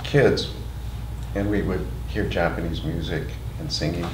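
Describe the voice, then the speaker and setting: A man's voice: low-pitched, steady tone, a little slow. A man in his sixties telling candid, enthusiastic stories in a group interview. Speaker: interviewee, musician. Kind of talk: group interview, personal recollection. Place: museum meeting room, seated in a group. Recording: roomy room, faint noise.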